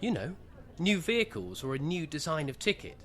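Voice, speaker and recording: Earnest, awkward bus enthusiast played by a man, swooping pitch. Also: very animated, brisk. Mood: earnest, enthusiastic. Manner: plodding, hesitant, pedantic about trivia. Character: earnest, awkward male bus-spotting enthusiast, dull and naive. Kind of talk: radio play acting.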